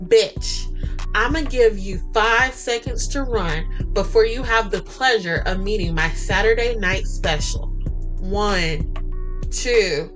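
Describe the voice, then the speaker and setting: A woman's voice: mid-range voice, slow pace, music in the background, lightly acted, poor audio. A woman voicing a furious betrayed wife in a romance story, threatening and commanding. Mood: furious threat.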